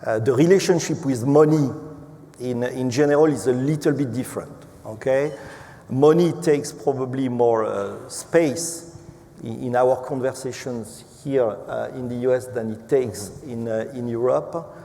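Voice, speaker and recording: A man from France with a French accent speaking as an executive on a panel, halting and hesitant, often repeating words. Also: mid-range voice, dark tone. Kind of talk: unscripted panel remarks. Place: large event hall. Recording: echoey hall with clear background noise.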